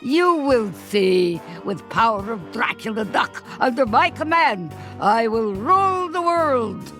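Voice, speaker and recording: A woman's voice: fairly high pitch, very animated, takes it slowly. A woman voicing a scheming villainous sorceress duck, gloating in broken grammar. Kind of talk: video game cutscene acting. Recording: music behind the voice.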